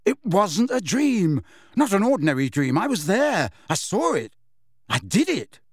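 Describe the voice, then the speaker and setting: A man's voice: sits high, sing-song, unhurried, creaky, slightly rough, no room sound, studio quality, voice pushed up, heavily acted. A man reading an audiobook, voicing a scared teenage boy who is desperate and furious, urgent and insistent. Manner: urgent, insistent, rising to shouts.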